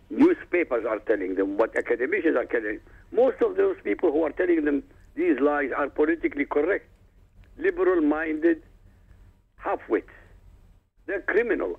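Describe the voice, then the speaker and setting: A man's voice: on the high side, gravelly, slightly rough. A man whose first language is Arabic, a guest author speaking emphatically and combatively in a radio interview. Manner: emphatic, combative, addresses listeners directly. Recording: tinny phone line.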